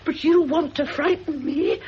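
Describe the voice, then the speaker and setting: A woman's voice: sits high, fairly even intonation, measured pace, darkish tone. An actress playing a nervous, frightened woman who pleads in a shaky, crying voice. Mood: tearful. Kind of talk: radio drama acting. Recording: dull old radio recording, faint hiss.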